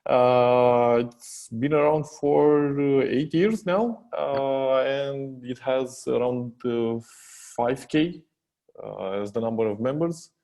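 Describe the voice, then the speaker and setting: A man with a Romanian accent, a software company co-founder talking as a podcast guest. He hesitates a lot, with many fillers and restarts. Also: mid-range voice, takes it slowly. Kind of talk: casual interview chat.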